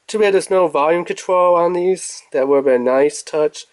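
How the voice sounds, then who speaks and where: A man's voice: on the high side, normal speed. A man giving a casual product review in an informal, rambling way, thinking aloud as he goes.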